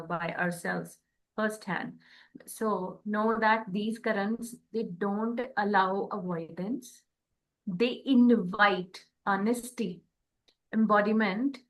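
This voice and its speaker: Female spiritual co-host, very slow delivery, mid pitch, deliberate short phrases with pauses, instructive. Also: poor audio. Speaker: co-host of a spiritual wellness show. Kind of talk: instructional spiritual talk to an audience.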